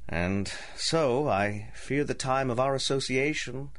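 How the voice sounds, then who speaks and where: An actor playing an embittered, scheming ruined gentleman in a radio drama, with formal, theatrical speech. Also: medium pitch, takes it slowly, lightly acted. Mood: suave, sinister. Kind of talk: 1930s-set radio drama acting.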